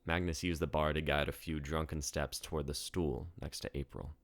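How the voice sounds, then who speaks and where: Deep-voiced man narrating fiction. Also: little pitch movement, moderate pace, no room sound. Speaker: author and narrator. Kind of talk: scripted story narration, reading aloud.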